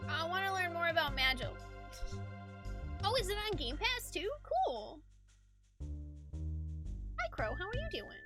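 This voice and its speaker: Animated, high-pitched woman streaming a game. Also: slow, pauses often, over music. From United States. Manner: animated, expressive, chatty. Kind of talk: livestream chat and reading game narration aloud. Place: indoors, game livestream with music behind.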